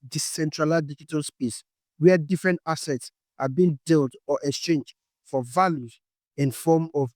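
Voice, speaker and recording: A man's voice: a little high, darkish tone, no echo. Nigerian man teaching, saying "okay?" often. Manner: explains step by step, tags lines with "okay?". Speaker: forex trading instructor. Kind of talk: explanatory course lesson.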